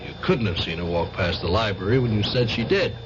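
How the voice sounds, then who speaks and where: An actor in a radio drama playing a methodical police lieutenant, deliberate and official as he questions a suspect. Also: lowish voice, expressive, natural voice. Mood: probing, measured. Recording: muffled, noisy old radio recording.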